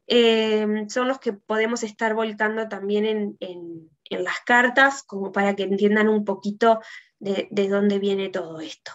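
A woman with a Uruguayan Spanish accent giving an informal slide presentation as a teacher, recorded indoors on a video call. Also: medium pitch. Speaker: tarot teacher giving a conference talk. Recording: dull, lo-fi video call audio.

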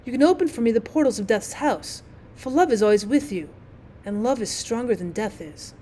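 Woman voicing a weary, mournful old male ghost, hushed. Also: close to an everyday voice. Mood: dreamy, wistful. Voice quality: low, dreamy. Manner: slow, mournful, wistful delivery. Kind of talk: storybook character reading.

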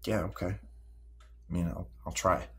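An actor playing a troubled, volatile sixteen-year-old boy in an audio drama, speaking in halting, defensive, sweary bursts. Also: sits low, steady tone, slightly rough, heavily acted. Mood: reluctant, sullen. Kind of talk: naturalistic audio drama acting.

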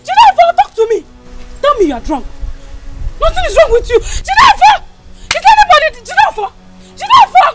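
An actress with a Nigerian accent playing a distraught village wife who calls out and wails. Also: female voice, extremely high pitch, sing-song, brisk, pauses often. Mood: wailing grief. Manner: wailing, shouting a name. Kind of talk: film acting. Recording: loud film music behind.

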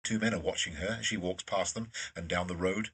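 A man's voice: deep voice, fairly even intonation, fairly quick, a bit bright, slightly rough, recorded from a distance. A man narrating an audio drama from a script.

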